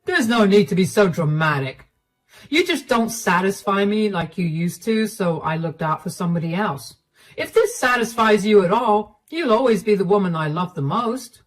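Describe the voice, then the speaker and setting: A woman's voice: mid-range voice, animated, normal speed, faint music behind, natural voice, poor audio. A woman voicing a cold, dismissive middle-aged husband who talks down bluntly. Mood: condescending. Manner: condescending, blunt.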